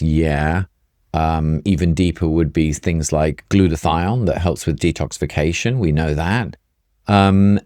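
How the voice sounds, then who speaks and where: A man's voice: low voice, darkish tone, dry recording, clean studio sound. A middle-aged or older man explaining things conversationally as a doctor, emphatic and repeating points for stress. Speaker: functional medicine doctor, guest.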